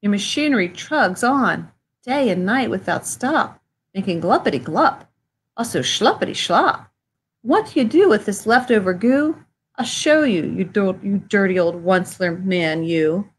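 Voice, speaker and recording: A woman voicing a fussy old male forest creature with a croaky, wheezy voice, scolding indignantly as she reads a picture book aloud. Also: medium pitch, lively intonation, unhurried.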